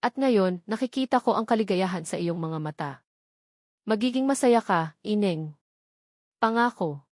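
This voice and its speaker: A woman narrator plays a kindly older Filipino woman in a romance story. The manner is motherly and reassuring, the pitch average, the sound dry and close. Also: expressive, normal speed, pauses often, darkish tone, no echo, close to an everyday voice. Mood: moved, glad.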